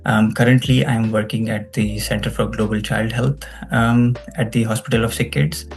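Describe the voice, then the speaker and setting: A man's voice: fairly low pitch, steady tone, moderate pace. A man with a Pakistani accent speaking as an interview guest, explaining things conversationally and checking in with "right?". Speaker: guest, global health researcher.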